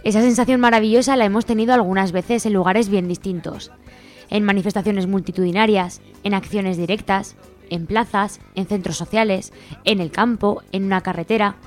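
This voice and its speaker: A woman's voice: mid-range voice, fairly even intonation, moderate pace. Woman reading a script over music, Spanish accent. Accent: Spain. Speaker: radio show presenter. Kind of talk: scripted manifesto-like narration. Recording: music behind the voice.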